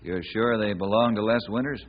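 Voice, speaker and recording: An actor playing a calm, practical ranger in an old radio western, plain-spoken and measured. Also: male voice, lowish voice, natural voice. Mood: thoughtful. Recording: dull, noisy old radio recording.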